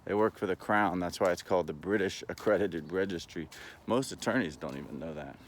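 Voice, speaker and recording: A man talking in a casual, rambling monologue, halting but earnest and opinionated, as a vlogger. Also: sits low, a little hiss or hum.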